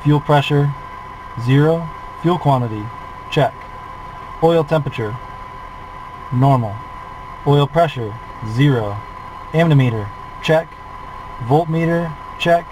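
A man's voice: a little high, slow pace, long pauses. Man, forties, flight instructor, clipped checklist callouts, headset mic, faint hum. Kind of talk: reciting a cockpit checklist. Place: small aircraft cockpit.

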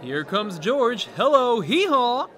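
Man playing a high-voiced, brash college man. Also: big pitch swings, slow, pushed and bright, full character voice. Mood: boisterous. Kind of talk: old-time radio drama acting.

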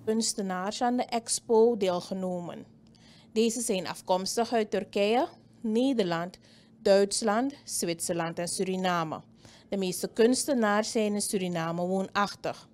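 A woman reading a scripted news report in a steady, even voice. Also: medium pitch, unhurried.

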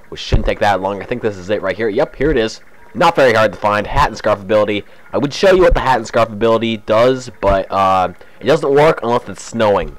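Lowish young man with a cold. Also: poor audio. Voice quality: slightly raspy. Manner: chatty, relaxed walkthrough narration with fillers. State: has a cold. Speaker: let's play host. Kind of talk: casual gameplay commentary.

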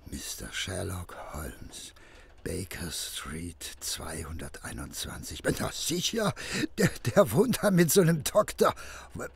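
Casting: poor Berlin porter, simple and anxious, very husky, slow but lively delivery, radio play. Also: male voice, sits low, full character voice. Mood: puzzled. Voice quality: gravelly, husky. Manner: colloquial, hesitant, excitable. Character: poor, simple-hearted working-class porter husband, anxious. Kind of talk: German audio drama acting.

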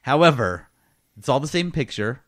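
A man's voice: medium pitch, sing-song, normal speed, a bit bright. A man co-hosting a casual comedy podcast, chatting in a jokey way with frequent laughter. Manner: jokey, laughs often while talking. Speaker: podcast co-host. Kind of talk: casual comedic group chat.

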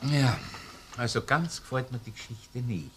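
An actor playing a sceptical, probing police investigator in a crime radio play, speaking colloquial Viennese German with an insistent, questioning manner. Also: male voice, mid-range voice, a little slow, close to an everyday voice. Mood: doubtful, insistent. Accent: Viennese Austrian. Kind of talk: German-language crime radio drama acting. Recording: noisy old radio play recording.

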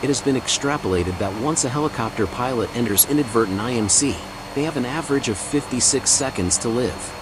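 A man delivers scripted documentary narration in a medium-pitched voice. Loud vehicle noise runs behind every word. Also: fairly quick, few pauses.

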